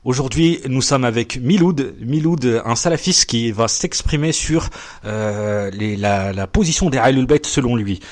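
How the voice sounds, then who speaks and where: A man's voice: mid-range voice, a little slow. A man hosting a podcast interview, hesitant with many fillers, pressing his guest with questions. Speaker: podcast host.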